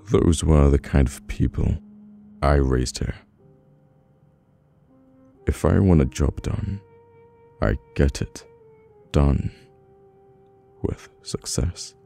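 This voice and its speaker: A man with a British accent playing a cold, dominant mafia boss in an ASMR audio roleplay, speaking in a measured, commanding way with long deliberate pauses. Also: extremely low pitch, gravelly, warm tone, exaggerated voice. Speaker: voice actor. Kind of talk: ASMR audio roleplay, slow dramatic monologue.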